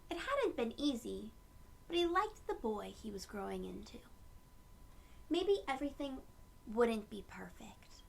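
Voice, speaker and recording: A woman reading a picture book aloud to young children and explaining it gently in simple words. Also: fairly high pitch, sing-song, a little slow, vocal fry, slight background noise. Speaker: children's read-aloud host.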